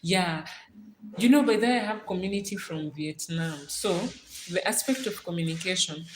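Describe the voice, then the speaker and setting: A Kenyan woman chats casually as a livestream host in a fairly low voice. She circles back over her phrases to stress them. The sound is echoey, with noticeable noise behind her. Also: moderate pace. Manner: repeats phrases for emphasis. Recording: roomy echo, clear background noise.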